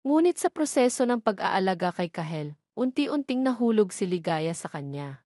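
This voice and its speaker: A Filipino woman narrates a scripted story in a mid-pitched voice. She reads phrase by phrase in a measured manner. The sound is dry and close, with no room around it. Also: big pitch swings, mellow, no echo.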